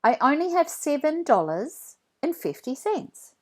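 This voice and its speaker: A woman voicing an excitable, assertive young girl in a children's storybook, with exclaiming, expressive dialogue. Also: high voice, measured pace, pitched above the performer's own voice. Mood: bargaining.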